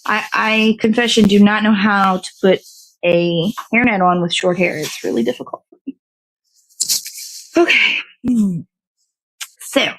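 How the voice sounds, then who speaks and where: A woman's voice: medium pitch, steady tone, unhurried, long pauses, a bit bright, low-quality recording. A woman, hosting a casual, rambling livestream in a playful, slangy, easygoing way. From American South. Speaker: host of a morning livestream show.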